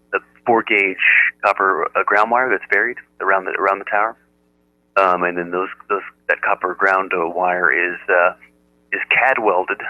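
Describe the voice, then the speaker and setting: A man chatting casually over amateur radio, explaining technical things in a halting, methodical way. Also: deep voice, dry recording. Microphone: radio transceiver audio output. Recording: narrow muffled radio audio, dry.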